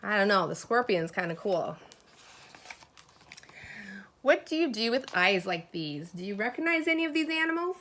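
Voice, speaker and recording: A woman teacher reading a children's picture book aloud expressively, pausing to put questions and asides to her young listeners. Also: mid-range voice, sing-song, fairly bright tone.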